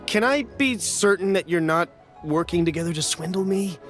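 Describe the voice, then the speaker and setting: An actor playing a wary, fawning merchant buyer who speaks hesitantly and nervously, in a video game. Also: male voice, high-pitched, lively intonation, normal speed, fairly bright tone, faint music behind. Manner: hesitant, obsequious and a bit nervous.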